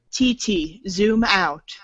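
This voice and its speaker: A woman's voice: lowish voice, extremely slow, fairly bright tone, breathy edge, no room sound, dull audio. A woman reading scripted storybook-style narration aloud as a theatrical narrator.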